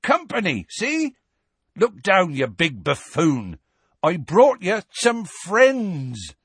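Loud, husky, fondly scolding giant of a man. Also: on the high side, sing-song, unhurried, no room sound, voice pushed up, exaggerated voice. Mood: loud coaxing. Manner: shouting, coaxing, rustic colloquial speech.

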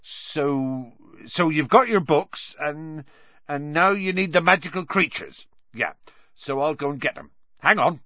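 A man voicing a huge, rough-spoken male gamekeeper teacher who calls out loudly and heartily, stumbling over his words when flustered. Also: a little high, lively intonation, unhurried, no room sound, voice pushed up. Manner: loud hearty calling, stammers when flustered. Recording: dull dry lo-fi recording.

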